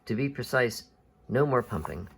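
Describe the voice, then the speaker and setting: Bumbling detective played by a male storyteller: formal, self-important, with some gravel. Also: medium pitch, lively intonation, moderate pace, heavily acted. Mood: self-satisfied. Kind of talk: storybook character voice.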